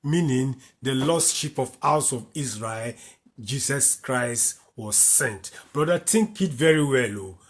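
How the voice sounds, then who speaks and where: A man from Africa, teaching from the Bible by reading verses aloud and then explaining them, repeating key lines. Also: mid-range voice. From West Africa. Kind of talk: slow, repetitive Bible commentary.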